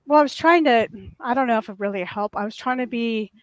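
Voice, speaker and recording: A woman on a casual panel chat with a soft voice that turns crackly and raw, telling a long, earnest, indignant story. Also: a little high, quick pace, no room sound.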